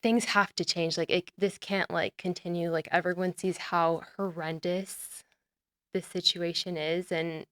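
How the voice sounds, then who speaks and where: A woman's voice: fairly low pitch, measured pace, no room sound. A young adult woman from the United States, a podcast guest talking casually and earnestly, often saying "like", trailing off and restarting. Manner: relaxed and earnest, heavy use of "like", trailing off and restarting. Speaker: podcast guest, olive oil brand founder. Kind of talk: casual interview conversation.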